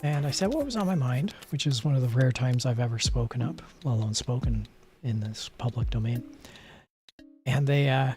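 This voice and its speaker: A man streaming a card game, chatting casually in a soft, rambling, self-deprecating way with asides to the game. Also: medium pitch, moderate pace, soft background music. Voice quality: soft, not gravelly at the moment. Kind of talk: casual live-stream chat while playing.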